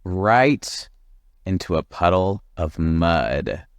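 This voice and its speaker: A man reading a children's story aloud as an expressive narrator. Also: low-pitched, drawn-out words, no room sound, muffled recording. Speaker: storybook reader.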